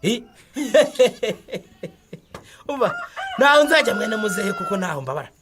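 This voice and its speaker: A man's voice: high voice, very animated, measured pace, background noise. A man with a Rwandan accent acting a stubborn, proud, burly character in a radio drama, boastful and blustering, with grunts and repeated muttering. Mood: boastful, scoffing.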